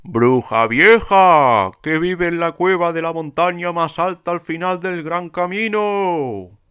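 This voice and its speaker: A man playing a timid, earnest ogre in a children's storybook, speaking in a halting, drawn-out way. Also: on the high side, fairly bright tone, clear, resonant tone. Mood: calling out. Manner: slow, halting, drawn-out, stammering when nervous.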